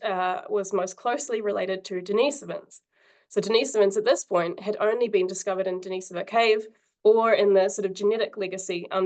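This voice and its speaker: A woman giving an academic conference talk over a video call, husky from a cold, friendly and filling pauses with ums and uhs. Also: mid-range voice. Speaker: invited conference speaker.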